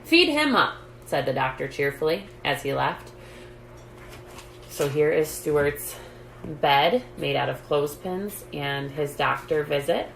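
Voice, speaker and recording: A woman hosting story time, reading a children's book aloud in an expressive, deliberate, emphatic way. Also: sits low, vocal fry. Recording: roomy echo, background noise.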